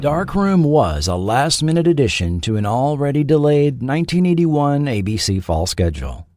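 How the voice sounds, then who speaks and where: A man delivers scripted documentary narration as a podcast host. His pitch moves in lively sweeps and his phrasing is measured and dramatic. The sound is dry, with soft music underneath. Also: no echo. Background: faint background music.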